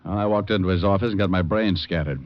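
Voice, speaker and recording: An actor playing a cocky, wry, hard-boiled American private detective in an old-time radio drama. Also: male voice, low-pitched, quick pace, close to an everyday voice. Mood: teasing, breezy. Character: wisecracking, cocky, tough male private detective. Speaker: lead actor and narrator of a radio detective show. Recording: dull old radio recording with music.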